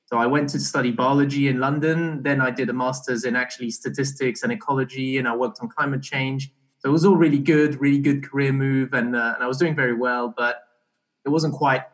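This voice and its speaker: Relaxed young man chatting as a guest. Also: mid-range voice. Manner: relaxed, halting, with many restarts. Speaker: podcast guest. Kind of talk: casual conversational interview.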